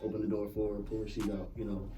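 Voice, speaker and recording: Podcast panelist, male, lowish voice, thoughtful casual talk, mic set back with faint music. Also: fast, distant mic. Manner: relaxed, thoughtful, repeats words as he builds a point.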